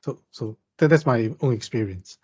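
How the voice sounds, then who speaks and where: A man from Vietnam speaks at a middle pitch, hesitant and halting, full of "uh" and "um" and repeated words. He laughs now and then as he talks. The recording sounds dull and lo-fi. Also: a little mellow, breathy edge. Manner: hesitant, many restarts and fillers, laughs. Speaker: participant. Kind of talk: casual chat.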